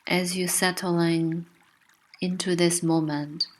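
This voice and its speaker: A woman narrating a guided meditation with gentle, soothing, unhurried instruction. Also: fairly low pitch, little pitch movement.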